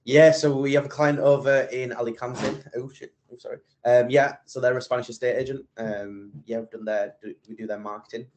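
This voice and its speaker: A man's voice: mid-range voice, fairly even intonation, brisk, few pauses, a little mellow, a little hiss or hum. A man, a podcast guest chatting casually and rambling with plenty of fillers and restarts. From England. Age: 20s. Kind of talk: casual interview chat.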